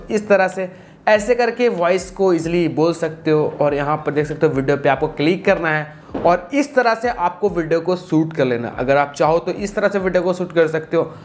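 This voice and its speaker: Chatty higher-pitched young man, noisy recording. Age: 20s. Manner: chatty, informal, mixes in English tech words. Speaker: video tutorial host. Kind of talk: casual step-by-step tutorial to camera. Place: small indoor room with computer setup. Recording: clearly noisy room recording.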